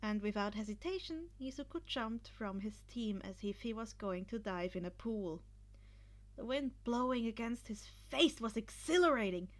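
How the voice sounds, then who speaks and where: A woman reading a fan fiction story aloud as expressive scripted narration. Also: on the high side. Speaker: podfic reader.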